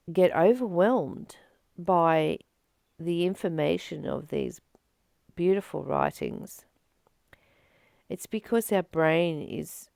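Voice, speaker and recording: A woman reading aloud and reflecting on spiritual teachings in a deliberate, emphatic way, with pauses between phrases. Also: low-pitched, vocal fry, a little mellow, smooth, dry recording, clean studio sound. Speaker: host of a spiritual reading channel.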